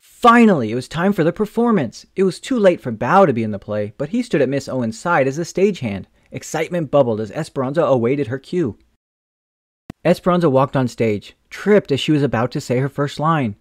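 A man reading a children's picture book aloud in a gentle, expressive storytelling manner. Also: mid-range voice, no room sound, clean studio sound. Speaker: storytime reader.

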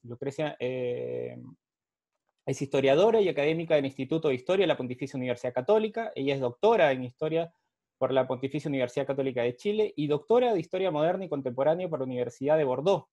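A man's voice: medium pitch, animated, fast talker, warm tone. A young man from Peru hosting a virtual history talk on a video call from a home study, with many fillers and restarts. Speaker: host and historian. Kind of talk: virtual panel conversation. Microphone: video call with earphone mic.

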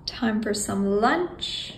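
A woman's voice: mid-range voice, expressive, drawn-out words, slight background noise. A woman in her twenties chatting casually and informally to the camera as a travel vlogger. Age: 20s. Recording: music under the voice. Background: music.

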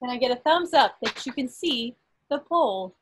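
A woman acting as quizmaster, reading quiz questions aloud to an online group in a deliberate way, pausing between phrases. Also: high voice, expressive, a little hiss or hum. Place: indoors on a group video call. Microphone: video call microphone.

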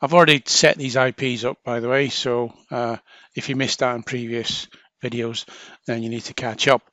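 A man narrating a step-by-step software tutorial in an informal, chatty way, talking through each action as an instructor. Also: mid-range voice, steady tone, moderate pace, no echo. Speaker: training video instructor.

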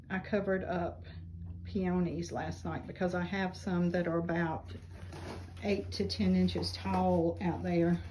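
A middle-aged woman chatting casually about her seedlings in a small greenhouse, unhurried and rambling with many ums, filmed on a handheld phone. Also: medium pitch, warm tone, a little hiss or hum. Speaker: flower farm vlogger. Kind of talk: casual gardening vlog. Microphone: phone camera held while filming.

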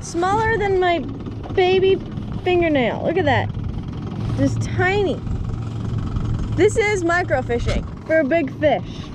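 Female angler, playful off-the-cuff vlog talk, really high voice, outdoors on a boat with heavy background noise. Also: lively intonation, takes it slowly, pauses often. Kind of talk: casual fishing vlog chat. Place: small open boat on a lake, outdoors.

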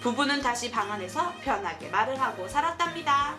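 A woman hosting a children's show, reading a storybook and asking questions in an animated, sing-song, teacherly way. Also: on the high side, fairly quick, music in the background. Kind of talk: storybook narration and chat with a child.

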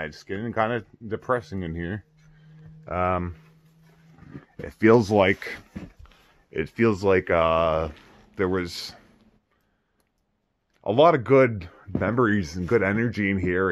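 A middle-aged man giving casual, halting, off-the-cuff commentary as he walks around outdoors with a handheld camera. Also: low-pitched, no room sound. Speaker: exploration vlogger. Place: outdoors in snowy burned-out house ruins. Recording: clearly noisy outdoor recording with clicks.

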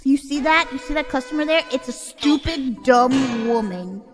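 A young woman streamer reacting live in an excitable, foul-mouthed way, breaking into shrieking outbursts. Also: sits high, normal speed. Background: music.

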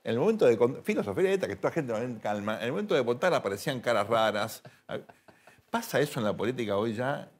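A man's voice: mid-range voice, expressive, fast. A middle-aged man with an Argentine accent, hosting a talk show in a TV studio and asking conversational, probing questions. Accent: Rioplatense Spanish. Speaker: host.

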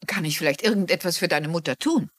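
A woman playing a kindly, concerned middle-aged woman in a dubbed TV drama, gentle and articulate. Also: lowish voice, fast, no room sound. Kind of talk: German-dubbed TV drama acting.

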